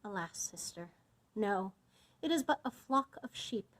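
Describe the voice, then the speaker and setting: Fairy-tale lookout sister, woman acting: high, lilting, declamatory, animated pitch. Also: a little slow. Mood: watchful, regretful. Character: watchful sister calling out from a tower. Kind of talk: dramatised fairy-tale storybook reading.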